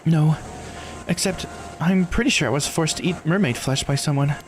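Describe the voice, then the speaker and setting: A man's voice: on the high side, moderate pace, noisy background, pitched above the performer's own voice, lightly acted. A man voicing an evasive, casual immortal girl from a visual novel, with hesitant, informal speech. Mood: guarded, casual. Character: immortal girl, eight hundred years old, evasive and casual. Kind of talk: visual novel character read-aloud.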